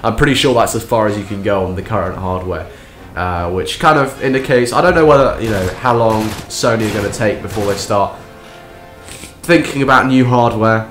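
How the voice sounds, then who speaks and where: A young man, croaky and nasal from a cold, rambling through a sarcastic gameplay commentary. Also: on the low side. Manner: rambling, sarcastic, many restarts. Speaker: gaming commentator. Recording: echoey room with faint game sound.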